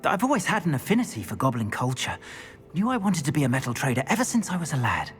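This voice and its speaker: An actor playing an anxious, earnest older wizard, a metal trader in a video game. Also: male voice, a little high, fast talker. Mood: rueful, reflective. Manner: earnest, expressive, rueful. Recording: game music behind the voice.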